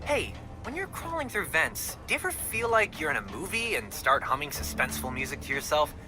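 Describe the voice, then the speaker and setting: An actor playing a chatty, goofy teenage sidekick in a video game, rambling playfully through odd tangents. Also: male voice, fairly high pitch, big pitch swings, fairly quick, over music. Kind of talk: video game cutscene acting.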